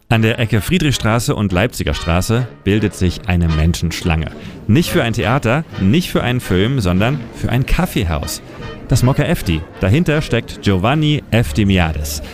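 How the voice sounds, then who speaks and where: A man reading a scripted documentary-style narration with a measured storytelling delivery. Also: on the low side. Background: music bed.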